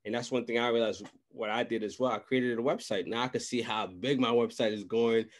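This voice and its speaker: A man's voice: mid-range voice, fairly even intonation, fairly quick. A young man hosting a casual interview, talking informally with frequent conversational tag phrases. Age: young adult. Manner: informal, repeats tag phrases like "you know what I mean". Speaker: podcast host and interviewer. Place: small room at home, video call. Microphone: large desk microphone close to mouth.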